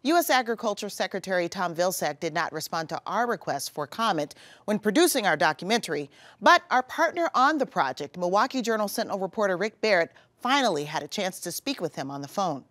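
A woman TV host delivering a scripted introduction in an animated, newsy way from a studio. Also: mid-range voice, brisk, no room sound. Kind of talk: scripted presentation.

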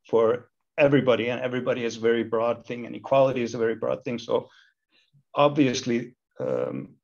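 Gravelly thirties guy from iceland on a video call, muffled audio, thinks out loud. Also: mid-range voice. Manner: thoughtful, many restarts and fillers. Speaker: university lecturer and equality committee chair. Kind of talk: video-call panel discussion.

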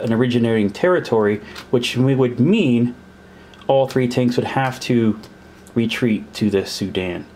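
A man's voice: mid-range voice, darkish tone, a little hiss or hum. A man playing a war board game at a table, counting pieces aloud and puzzling over the rules as he goes. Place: indoors at a table.

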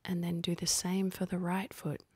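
A woman leading a guided meditation in a soft, husky, breathy voice, speaking calmly and gently with unhurried pauses. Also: on the low side, dry recording. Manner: calm, gentle, unhurried instructing with long pauses.

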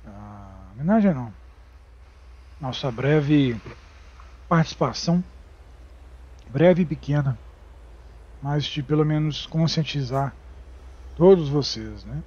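Slow, halting Brazilian man, muffled mic. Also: medium pitch, animated, mellow, husky. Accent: Brazilian Portuguese. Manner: halting, many fillers and restarts. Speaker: gaming YouTuber. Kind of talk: casual game commentary.

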